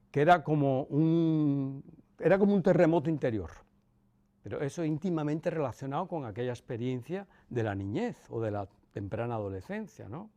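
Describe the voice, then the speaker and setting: A man in his sixties with a Cuban accent softened by Castilian Spanish, reminiscing in an interview in a measured way, with pauses and emphatic repetition. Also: fairly high pitch. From Cuba. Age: 60s, about 64. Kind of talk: reflective personal reminiscence.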